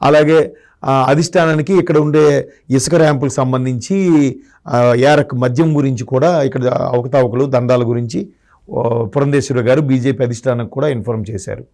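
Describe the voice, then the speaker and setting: A man's voice: medium pitch, steady tone, moderate pace, pauses often, darkish tone. A man giving political commentary in a discussion, arguing his points through rhetorical questions. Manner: argumentative, asks rhetorical questions.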